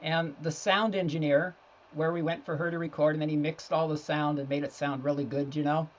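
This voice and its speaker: A man's voice: fairly high pitch, little pitch movement, brisk. Man interviewed, slightly dull audio. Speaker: podcast guest, children's author. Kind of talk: casual anecdotal interview talk.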